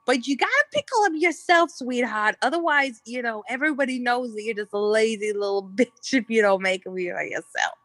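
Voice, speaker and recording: A woman's voice: on the high side, animated, normal speed, few pauses, a bit bright, no echo, soft background music. A woman voicing a brash, foul-mouthed, scatterbrained old grandmother in an improvised comedy sketch, rambling crudely between endearments.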